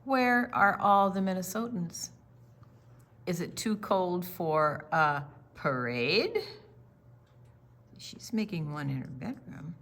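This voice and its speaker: A woman reading a picture book aloud to young children in an expressive, question-and-answer storytime style. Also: fairly low pitch, slow pace, long pauses.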